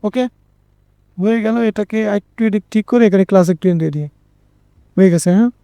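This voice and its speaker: A man with a Bangladeshi accent presenting an informal software tutorial, repeating phrases and checking in with listeners. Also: high-pitched, a little fast, gravelly, clear, resonant tone, no room sound.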